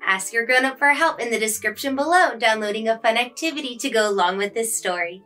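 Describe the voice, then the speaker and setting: High-voiced woman reading to children. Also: a little fast, sharp tone. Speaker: storyteller, host of children's read-alouds. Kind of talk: scripted storybook narration for children. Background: faint music.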